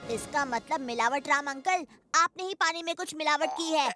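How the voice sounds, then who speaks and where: High-pitched, clever cartoon boy. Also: normal speed, sharp tone. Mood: suspicious. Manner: emphatic, reasoning things out. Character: clever, confident young boy detective, the nephew. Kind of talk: children's comedy cartoon dub. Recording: music under the voice.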